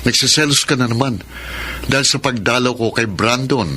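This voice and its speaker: A man's voice: mid-range voice, normal speed, bright, forceful tone, close to an everyday voice. A man playing an exasperated middle-aged father and defensive partner in a Filipino radio drama. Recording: clear background noise.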